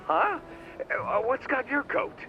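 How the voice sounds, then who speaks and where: A man's voice: extremely high pitch, wildly swooping pitch, slow, soft background music, heavily acted. A man playing a giddy, teasing fanboy on a phone call in a video game, gushing and full of playful puns. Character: giddy, teasing, geeky mascot-obsessed male caller. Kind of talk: video game cutscene acting. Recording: dull, noisy phone call.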